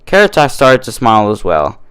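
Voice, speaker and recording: Male story reader, mid pitch, lively intonation, scripted fan-fiction narration. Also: brisk, clear, resonant tone.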